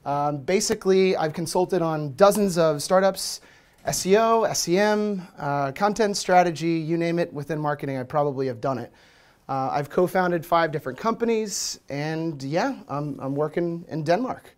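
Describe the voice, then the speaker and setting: A man's voice: a little high, normal speed. An American man from Washington, D.C., in his thirties, giving a casual, chatty conference talk with plenty of fillers. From Washington, D.C., USA. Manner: relaxed, chatty, many fillers. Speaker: conference speaker. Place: meetup room with projector screen.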